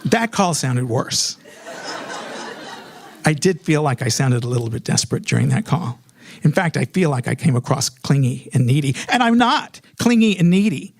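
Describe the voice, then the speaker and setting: A man's voice: mid-range voice, normal speed, gravelly, husky. A middle-aged American man telling a personal story in a comedic, self-mocking way, animatedly acting out remembered dialogue.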